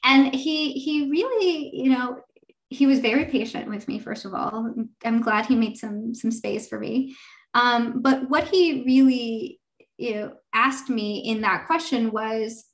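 Woman in her thirties, guest, high voice, talkative and animated. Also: measured pace, rough recording. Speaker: venture fund partner, guest. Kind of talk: conversational interview answers.

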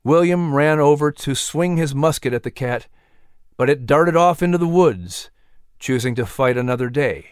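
A man reading a children's adventure story as narrator, expressive and suspenseful. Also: a little high, normal speed, clear, resonant tone, dry recording, studio quality. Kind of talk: dramatic scripted story narration.

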